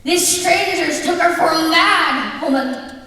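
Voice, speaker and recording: Eerie theatrical narrator, played by a woman, dramatic and slow, very echoey hall with music behind. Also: very high voice, bright, forceful tone, far from the mic, heavily acted. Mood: dramatic, ominous. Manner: deliberate, dramatic, addresses the audience. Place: indoor theatre stage.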